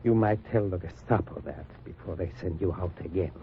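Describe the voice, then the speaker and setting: Suspicious husband, dull vintage radio. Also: male voice, deep voice, vocal fry, faint music behind. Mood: suspicious, cutting. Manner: deliberate, probing, curt. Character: suspicious German husband, resistance man testing a stranger. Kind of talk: 1940s-50s radio drama acting. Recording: dull, noisy old radio recording.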